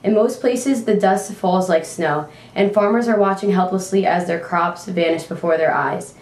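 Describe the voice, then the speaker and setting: A woman's voice: medium pitch, normal speed, darkish tone. A woman reporter delivering a scripted amateur news report. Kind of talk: scripted amateur mock newscast. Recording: echoey room, distant mic.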